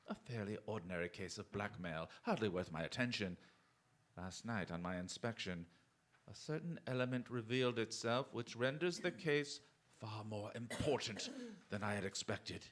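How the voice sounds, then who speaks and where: An actor playing a shrewd, self-assured gentleman detective in a radio drama, with crisp, formal, authoritative speech. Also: fairly low pitch, natural voice. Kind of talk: theatrical radio drama acting.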